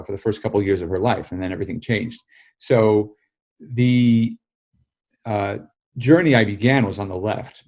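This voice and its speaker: A man with an American accent, born in South Africa, answering interview questions in a measured, explanatory way. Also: fairly low pitch, a little mellow, dull audio. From South Africa, raised in the United States. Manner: measured, explanatory, building long arguments. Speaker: guest, editor and author. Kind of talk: political podcast interview.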